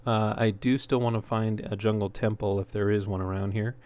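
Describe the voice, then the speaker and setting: A man giving casual gameplay commentary in a halting way, as if thinking aloud while he plays. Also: fairly low pitch, fairly even intonation, a little mellow. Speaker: let's player, host. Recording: clear background noise.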